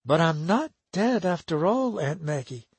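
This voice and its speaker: A man voicing a hushed resolute young woman. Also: sits high, moderate pace, no room sound, pitched above the performer's own voice. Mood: hushed, urgent. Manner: soft, hushed, then sharp and urgent. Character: young woman, resolute, secretive, ill in bed.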